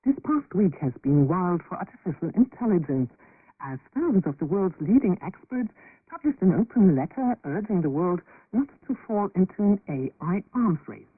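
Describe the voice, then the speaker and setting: A woman's voice: fairly low pitch, big pitch swings, normal speed, slightly rough, dry recording. A woman hosting a radio magazine show, reading from a script. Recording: muffled, narrow-band shortwave radio broadcast.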